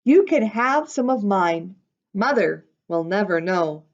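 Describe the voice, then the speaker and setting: A woman's voice: mid-range voice, expressive, slow, muffled recording. A woman voicing a cheerful young girl rabbit in a storybook, a reassuring sister who speaks in a consoling way.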